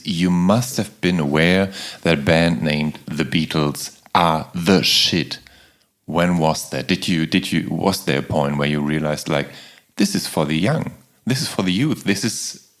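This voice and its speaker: Low-voiced German man asking questions. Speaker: podcast host, interviewer. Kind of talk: interview questions.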